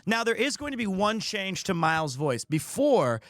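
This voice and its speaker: Bright, high-voiced man chatting on stream. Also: moderate pace, no room sound, studio quality. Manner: rambling, jokey, expressive. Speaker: livestreamer and host. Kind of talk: casual chat to a stream audience.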